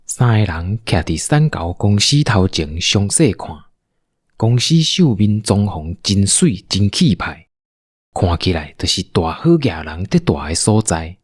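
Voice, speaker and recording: A man's voice: deep voice, sing-song, a little slow, husky, no room sound, studio quality. A man with a Taiwanese accent narrating a scripted audio drama as a storyteller.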